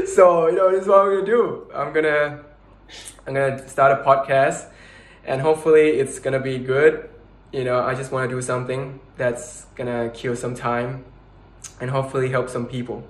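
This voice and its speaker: A man's voice: mid-range voice, roomy sound. A man in his twenties hosting a casual podcast chat, giggly and rambling, with lots of fillers. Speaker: podcast host.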